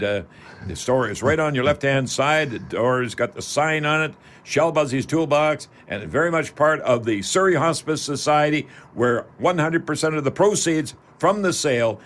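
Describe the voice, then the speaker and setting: A Canadian man hosting a call-in radio show, chatting casually in a folksy, rambling, halting way. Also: mid-range voice. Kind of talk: casual live radio chat.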